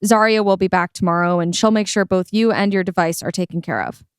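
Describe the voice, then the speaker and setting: Actress as a dystopian adventure heroine, dry close sound. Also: female voice, lowish voice, steady tone, a little fast, clear, resonant tone, dry recording, natural voice. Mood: earnest. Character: women in a satirical dystopian adventure. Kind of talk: dramatic audio play acting.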